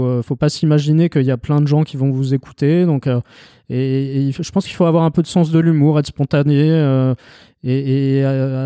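Man, very mellow and resonant, calm, dry recording. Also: medium pitch, clean tone, no room sound. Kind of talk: interview answer.

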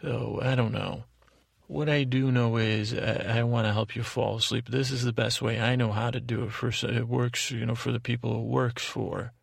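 A male podcast host with a creaky, gravelly voice, rambling haltingly through a whimsical, self-deprecating bedtime monologue in a deliberately dull drone. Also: mid-range voice, little pitch movement, no echo, clean studio sound.

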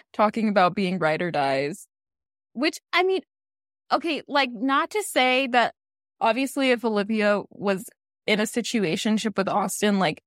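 A young woman co-hosting a podcast, gossiping in a rambling, animated way full of "like"s. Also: mid-range voice, no room sound, studio quality. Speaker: podcast co-host. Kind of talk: casual chat.

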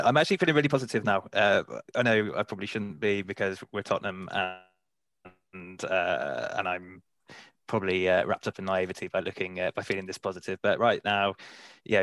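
A man's voice: deep voice, a bit bright, no room sound. A man co-hosting a podcast in casual chat, eager and hesitant, often repeating words. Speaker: podcast co-host.

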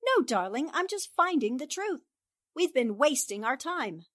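A woman acting a sharp, confident young newspaperwoman in a 1940s-style radio drama, crisp and assured as she reasons things out. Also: high-pitched, big pitch swings, unhurried, exaggerated voice. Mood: assured, excited. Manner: crisp, assured, explaining deductions.

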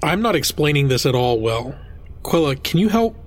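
A man's voice: fairly high pitch, very animated, moderate pace. A man playing a wry, apologetic dead time traveler in an audio drama, speaking hesitantly. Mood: gentle, hesitant.

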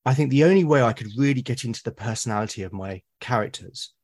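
A British man with a husky, slightly breathy voice at an ordinary pitch, speaking as a podcast guest. He talks earnestly, halting and repeating words as he gathers his thoughts. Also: animated, darkish tone. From Britain. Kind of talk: conversational interview.

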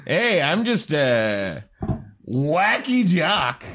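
A loud man trading deadpan puns in casual podcast banter. His voice sits a little high and leaps about in lively swings. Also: extremely slow, husky. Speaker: comedian.

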